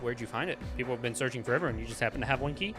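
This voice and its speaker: A man's voice: medium pitch, very fast, music in the background. A man chatting casually and bluntly, giving a scornful, opinionated film review as a show host. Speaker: movie review podcast host.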